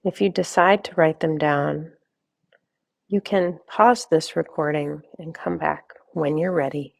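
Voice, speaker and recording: Female meditation guide, low register with noticeable vocal fry, gentle step-by-step instruction. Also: steady tone, unhurried, no room sound. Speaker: meditation guide, wellness coach.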